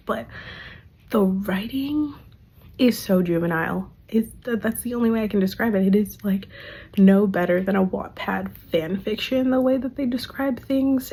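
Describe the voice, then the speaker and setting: Young woman, hoarse, chatty, lots of fillers, casual vlog talk. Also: mid-range voice, a little mellow. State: losing her voice, hoarse. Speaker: vlogger. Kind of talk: casual monthly favorites chat.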